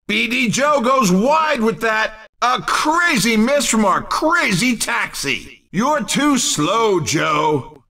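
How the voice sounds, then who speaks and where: An actor playing an excitable, teasing race commentator in a video game, delivering punchy, mocking exclamations. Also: male voice, very high-pitched, full character voice. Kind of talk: arcade racing game commentary.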